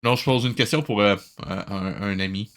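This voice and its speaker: Bright, sarcastic Québécois man. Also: lowish voice, normal speed, slight background noise. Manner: sarcastic, exasperated ranting. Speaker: host reviewing cartoons. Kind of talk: comedic reaction commentary.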